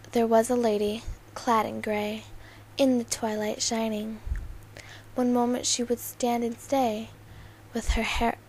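A young woman amateur auditioning for voice-over, speaking in a halting way with occasional stumbles over words. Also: a little high, darkish tone. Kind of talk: casual intro and slow poem recitation. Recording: noisy amateur home recording.

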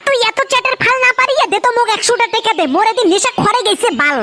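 A performer voicing a boastful, coarse-mouthed lazy son in a comedy cartoon, with a North Bengal accent and an artificially pitched-up voice. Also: expressive, very fast, heavily acted. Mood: cocky, defiant. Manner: pleading, bragging, coarse-mouthed. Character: boastful lazy son pestering parents for money. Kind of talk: comedy cartoon dialect dialogue. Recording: loud background noise with clicks.

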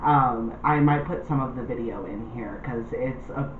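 Young male vlogger, casual delivery, roomy room sound with clear background noise. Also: medium pitch, normal speed, narrow, tinny audio. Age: young adult. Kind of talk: casual talk to camera. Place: small indoor room.

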